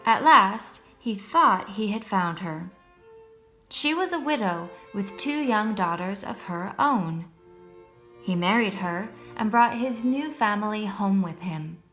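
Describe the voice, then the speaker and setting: A woman reading a children's story aloud as a storyteller, in a measured, expressive way meant for young listeners. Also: medium pitch. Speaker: storyteller, first-grade teacher. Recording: music behind the voice.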